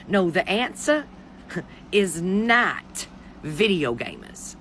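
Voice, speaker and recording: A middle-aged American commentator with very lively, swooping intonation rants in a sassy, exasperated manner, swearing freely and using pet names. The delivery is informal and opinionated, recorded with some background noise. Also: medium pitch. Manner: sassy, profane, exasperated, addresses listener as honey and baby. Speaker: commentator giving opinions on the news. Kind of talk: informal opinionated rant to camera.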